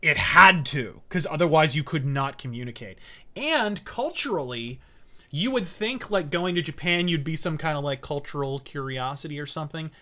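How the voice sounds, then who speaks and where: Guy streaming a game, swears freely, bright sharp-edged voice, speaks his mind. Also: a little high, animated, moderate pace. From United States. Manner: blunt, profane, opinionated. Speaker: streamer host playing a game. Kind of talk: casual live-stream chat.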